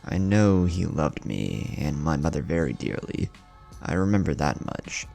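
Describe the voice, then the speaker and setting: Sombre young ninja father for anime storytelling, played by a man: deep, gravelly, earnest, measured. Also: big pitch swings, dark tone, soft background music, full character voice. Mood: solemn, reflective.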